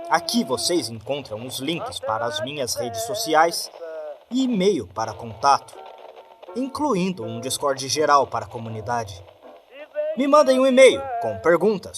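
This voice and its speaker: A man with a Brazilian accent giving a scripted appeal to listeners as a podcast narrator and host. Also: on the high side. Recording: clear music bed behind voice.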